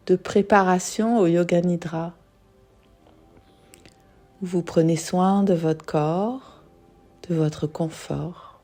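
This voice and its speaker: A woman guiding a yoga nidra meditation in a soothing, unhurried manner, leaving long pauses. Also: fairly low pitch, dark tone. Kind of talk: guided meditation.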